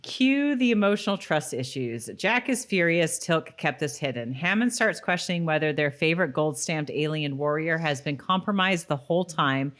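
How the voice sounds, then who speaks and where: A woman's voice: low voice, fairly bright tone. A woman co-hosting a rewatch podcast, moving between casual, giggly chat and scripted recap narration. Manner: giggly, breaks into laughter often. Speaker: podcast co-host.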